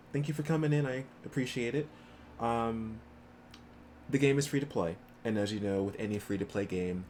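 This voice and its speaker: A man's voice: fairly low pitch, darkish tone, a little hiss or hum. A man livestreaming a game, chatting casually with viewers and commenting as he plays.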